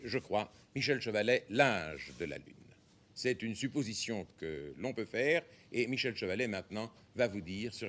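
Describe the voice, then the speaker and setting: A man's voice: on the low side, fairly bright tone. A man presenting television news as anchor in a 1970s broadcast style.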